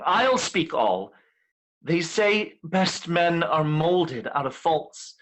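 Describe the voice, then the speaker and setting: A man's voice: on the high side, a bit bright, low-quality recording. A man playing a devoted, desperate young wife, pleading earnestly in a Shakespearean stage reading. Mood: earnest begging.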